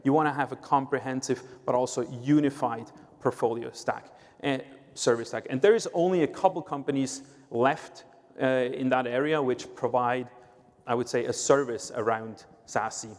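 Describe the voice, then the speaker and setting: A man from Switzerland talks with a Swiss accent at a middle pitch. He explains step by step as a guest on a panel and often checks in with "right?". A long hall reverb trails his words. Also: normal speed. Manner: explanatory, uses filler "uh" and "right?". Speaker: sales executive, guest speaker. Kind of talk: conference panel talk.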